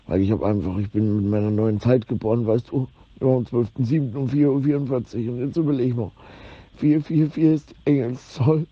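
A man's voice: mid-range voice, steady tone, brisk, no room sound. A middle-aged man, delivering a rambling, repetitive informal monologue in slangy language. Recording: dull lo-fi recording.

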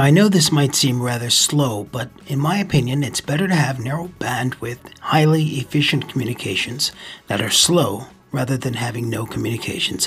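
Man, video host, heavily husky voice, measured explanatory narration, instructional style, background music. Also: mid-range voice. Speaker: ham radio video host.

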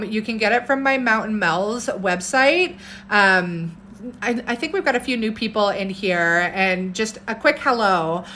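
Loud, bright-voiced woman on a live stream. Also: a little high, slight background noise. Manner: animated, jumps between topics and viewer comments, upbeat. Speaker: herbalist hosting a live stream. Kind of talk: casual live-stream chat answering comments. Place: home room with bookshelves, indoors. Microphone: phone camera at arm's length.

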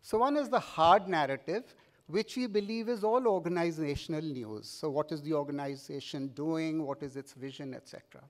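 A man's voice: fairly high pitch, lively intonation, moderate pace. A middle-aged man with an Indian English accent giving an urging keynote speech at a podium mic on a large conference stage, with fillers and repeated words. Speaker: keynote speaker.

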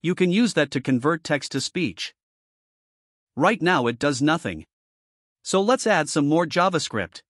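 A man's voice: a little high, very animated, unhurried, pauses often, a bit bright, no echo, clean studio sound. A man presenting a programming tutorial in scripted step-by-step narration.

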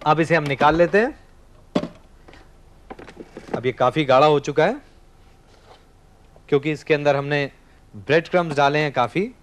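A man's voice: fairly high pitch, moderate pace, long pauses, slight background noise. A man in his thirties hosting a studio cooking show, explaining each step while he cooks and talks to the camera. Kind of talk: scripted cooking demonstration. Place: TV studio kitchen set.

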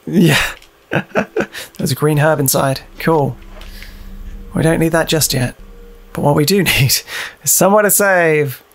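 A man's voice: fairly high pitch, slow, long pauses. A young British man giving casual, playful gameplay commentary, sweary and often repeating phrases in a sing-song way. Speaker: let's play host.

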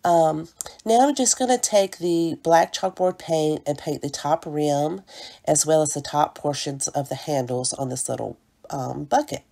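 Stuffy-nosed woman with a low, mellow voice. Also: measured pace, vocal fry. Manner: chatty, apologetic, fond of "little" and "cute". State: stuffy from a cold. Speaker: DIY craft channel host. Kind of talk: crafting tutorial voice-over.

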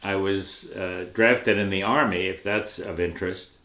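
An older man recalling his wartime years, halting with frequent pauses, recorded in a living room. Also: deep voice, fairly even intonation, bright, forceful tone. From Brooklyn, New York. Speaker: interviewee. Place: indoor living room, on a sofa. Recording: roomy sound with clear background noise.

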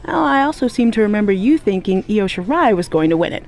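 A woman's voice: mid-range voice, big pitch swings, quick pace, a little mellow, slight background noise. An actress voicing a skeptical, teasing female podcast co-host in a video game.

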